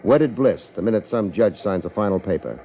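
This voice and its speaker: An actor playing a wry, hardboiled American private investigator, wisecracking drily in a 1950s radio detective drama. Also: male voice, lowish voice, expressive, brisk. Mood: sardonic. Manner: wisecracking, dry, sardonic. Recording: muffled 1950s radio recording.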